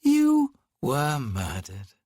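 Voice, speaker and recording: Guy doing a sneery young god twin, slow drawl, lazy mocking tone, kinda husky. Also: extremely high pitch, wildly swooping pitch, no room sound, clean studio sound, pitched above the performer's own voice, full character voice. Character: young male immortal twin, mocking and languid. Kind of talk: audiobook character reading.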